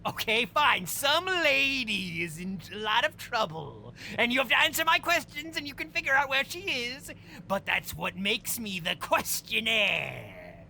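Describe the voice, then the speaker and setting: Guy doing a insecure wannabe villain, slow dramatic delivery, gravelly creak, bit of rumbly noise, comedy audio skit. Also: sing-song, sharp tone, full character voice. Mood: flustered, defensive. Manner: theatrical, overeager, easily flustered. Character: petty, insecure villain obsessed with his riddle game.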